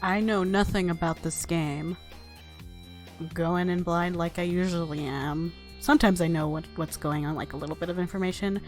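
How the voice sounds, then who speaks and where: A woman's voice: lowish voice, steady tone, normal speed. A woman hosting a casual let's play, rambling and self-deprecating, sometimes reading game text aloud. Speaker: gaming video host. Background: soft game music.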